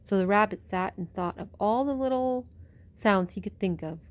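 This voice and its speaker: A woman's voice: mid-range voice, normal speed. A woman with a mid-range voice reads a picture book aloud for young children. She tells it expressively, stretching out phrases in a bedtime-story style.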